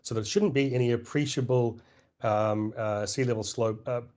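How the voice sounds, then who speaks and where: Man, hoarse, throat-clearing, halting with fillers, mellow dark tone, conference talk. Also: mid-range voice, steady tone. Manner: halting, many fillers and restarts. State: losing his voice, clearing throat. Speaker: conference presenter.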